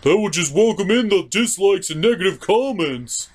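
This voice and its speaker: A man voicing a fretful, excitable puppet sidekick in a melodramatic, incredulous manner for a comedy show. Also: sits high, moderate pace, voice pushed up. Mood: worried, warning.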